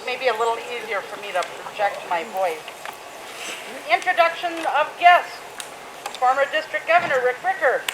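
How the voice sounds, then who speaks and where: A woman's voice: on the high side, moderate pace. Chatty Minnesotan woman outdoors. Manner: loose, chatty, with fillers. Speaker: meeting presider. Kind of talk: casual club meeting. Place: outdoor park. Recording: noisy outdoor recording.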